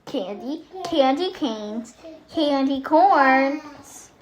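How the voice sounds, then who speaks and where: A storyteller voicing an earnest, excitable grown man raised by elves, childlike and emphatic, in a storybook read-aloud. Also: high-pitched, extremely slow, pitched above the performer's own voice, exaggerated voice. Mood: eager listing. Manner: exclaiming, wide-eyed, emphatic. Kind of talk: acted storybook character dialogue.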